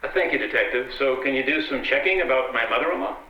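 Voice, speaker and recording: A man's voice: medium pitch, animated, quick pace, lightly acted. A man playing a vain, smug famous husband in an old-time radio comedy. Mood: wry. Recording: echoey, distant, noisy, dull.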